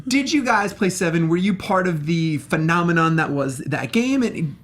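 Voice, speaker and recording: A man with an American accent co-hosting a casual panel, talking emphatically in halting, rephrased bursts. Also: fairly high pitch, expressive, a bit bright. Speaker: co-host of a movie review show.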